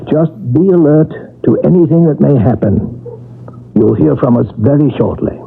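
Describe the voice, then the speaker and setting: An actor playing a calm, reassuring male paranormal investigator, measured and professional, in a 1970s radio drama. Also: fairly high pitch, creaky. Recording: tinny, narrow-band old radio recording.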